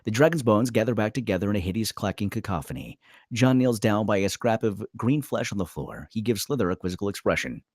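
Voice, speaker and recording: A man's voice: fairly low pitch, animated, quick pace, darkish tone, dry recording, studio quality. A man reading screenplay stage directions aloud as a dramatic narrator at a table read.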